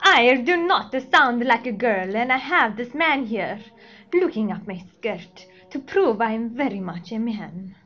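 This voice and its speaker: A woman's voice: fairly high pitch, animated, measured pace, sharp tone, faint music behind, full character voice. A woman playing a stern, pompous male Pope in a comedy sketch, speaking formally. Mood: indignant. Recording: dull, lo-fi audio.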